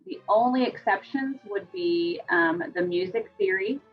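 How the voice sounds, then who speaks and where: A woman on the school staff explaining testing procedures in an interview, with frequent pauses and "um"s. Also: mid-range voice, lo-fi sound, soft background music. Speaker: school test coordinator.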